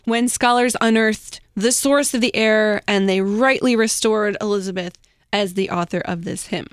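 A woman's voice: mid-range voice, normal speed, clear, resonant tone, dry recording. Woman podcaster telling history, close dry sound. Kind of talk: conversational storytelling from research.

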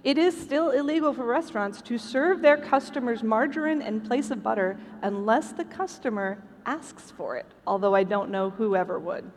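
A woman's voice: a little high, moderate pace, hardly any pauses. A woman co-hosting a live stage show, presenting trivia and stories to an audience. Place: large convention hall stage, over PA. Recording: hall reverb, faint music, live stage.

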